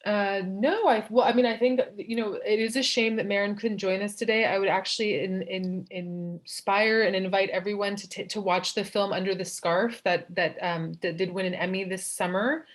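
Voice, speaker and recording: A woman's voice: medium pitch, steady tone, moderate pace, hardly any pauses. A woman guest in an interview, speaking earnestly and thoughtfully, often restarting her sentences. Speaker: nonprofit director, guest. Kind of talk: casual interview chat.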